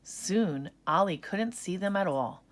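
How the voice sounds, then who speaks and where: A woman narrating a children's story in an expressive read-aloud style. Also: lowish voice, takes it slowly.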